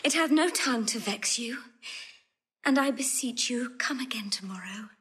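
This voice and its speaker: Lovesick lady, breathy, fairly high voice, earnest, pleading, classical stage drama. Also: expressive, moderate pace, fairly bright tone, heavily acted. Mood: yearning. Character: lovesick proud young noblewoman, yearning and earnest. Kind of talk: theatrical Shakespearean romantic acting.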